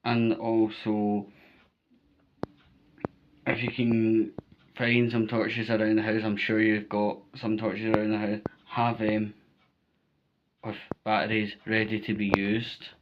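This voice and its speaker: Casting: Scottish male vlogger, lowish flat-toned voice, unhurried, emphatic informal advice, muffled lo-fi sound. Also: noisy background. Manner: emphatic, repetitive, checks in with "right?". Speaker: vlogger giving storm safety advice. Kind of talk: informal spoken advice to viewers.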